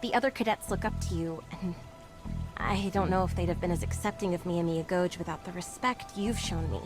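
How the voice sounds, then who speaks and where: A woman's voice: lowish voice, a little fast, natural voice. A woman playing a respectful young Spartan cadet, speaking earnestly and formally in a video game. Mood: grateful, sincere. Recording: game music behind the voice.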